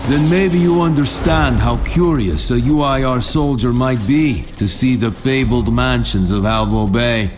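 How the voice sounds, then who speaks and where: An actor playing a bitter, defiant ex-enemy soldier with a dry, sardonic manner in a video game cutscene. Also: male voice, medium pitch, measured pace, hardly any pauses, natural voice. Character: bitter, defiant ex-enemy soldier turned private, scarred veteran. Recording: music behind the voice.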